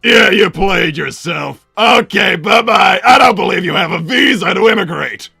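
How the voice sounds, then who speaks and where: A man's voice: sits high, expressive, moderate pace, creaky, pushed and bright, breathy edge, no echo, raised voice, studio quality, faint music behind, heavily acted. A man playing a boastful, gloating mad-doctor king in a theatrical cartoon roleplay, proclaiming things with bombast. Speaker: self-crowned king and father.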